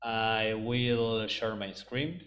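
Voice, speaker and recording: A man's voice: fairly low pitch, fairly even intonation, drawn-out words, fairly bright tone. A man from Argentina, a native Spanish speaker with an Argentine Spanish accent, giving a webinar presentation over a video call and often hesitating with ums and restarts.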